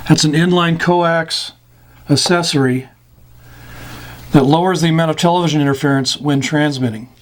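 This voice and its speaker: An American man giving an informal, plain-spoken explainer of technical terms, pausing often between short phrases. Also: a little high, steady tone. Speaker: instructor explaining CB radio terms. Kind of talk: informal explainer, glossary-style lesson.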